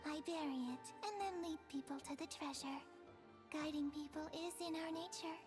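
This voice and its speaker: A small angelic spirit girl , voiced by a woman, speaks in a very high, childlike voice. She is blunt and matter-of-fact but kindly underneath, in scripted game dialogue with clear music behind her. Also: fairly even intonation, normal speed, lightly acted. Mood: fond, pleased.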